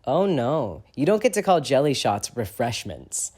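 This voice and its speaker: A man voicing an exuberant teenage boy wizard who teases with an expressive delivery. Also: medium pitch, measured pace, husky, voice pushed up. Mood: teasing. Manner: teasing, expressive.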